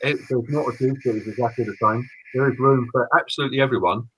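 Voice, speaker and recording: A man's voice: medium pitch, fairly even intonation, fast talker, fairly bright tone, slight background noise, low-quality recording. A man co-hosting a live stream, agreeing and ranting, often repeating and stumbling over his words. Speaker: co-host, business owner.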